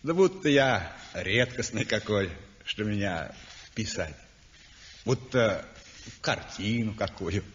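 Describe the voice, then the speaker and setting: A man's voice: fairly low pitch, lively intonation, slow pace, a bit bright. An actor playing a simple, puzzled peasant boatman with a folksy, hesitant way of talking, in a 1960s radio drama. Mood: naive wonder. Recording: dull, noisy vintage radio recording.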